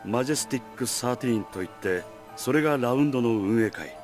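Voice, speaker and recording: Male actor as smug visual novel boss, light casual delivery, very animated pitch, faint music. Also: rapid-fire, close to an everyday voice. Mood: breezy, condescending. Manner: light, casual, looking down on others. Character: smooth-talking, condescending young male leader figure. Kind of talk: Japanese visual novel voice acting.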